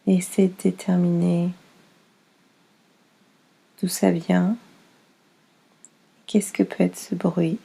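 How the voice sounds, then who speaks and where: A woman leading a guided yoga nidra relaxation as instructor, soothing and unhurried, speaking in short phrases with pauses. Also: lowish voice, level tone, creaky, mellow, breathy edge, poor audio.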